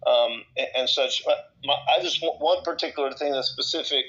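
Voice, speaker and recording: A man from El Salvador talking casually and off the cuff in a group discussion, in a halting, rambling way with repeated words and broken-off thoughts. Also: medium pitch, bright, forceful tone. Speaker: discussion participant. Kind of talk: casual, impromptu personal talk in a group discussion. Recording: slightly dull, lo-fi audio.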